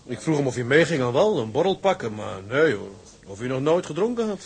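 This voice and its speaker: An actor playing a sturdy, ambitious first mate on a sea tug in a Dutch radio drama, hearty and conversational. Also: male voice, medium pitch, very animated, brisk, slightly rough. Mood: chatty.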